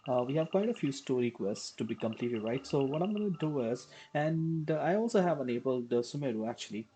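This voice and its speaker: A woman streaming a game with casual, rambling, unhurried live commentary. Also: rumbling low, animated, dark tone, a little hiss or hum. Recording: faint game music behind.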